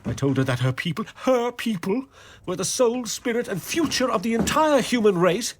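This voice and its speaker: Flustered middle-aged gentleman, self-pitying and melodramatic, voice all over the place, bit of hiss. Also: high voice, big pitch swings. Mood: distraught. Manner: agitated, wordy, melodramatic, halting. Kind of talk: radio drama acting.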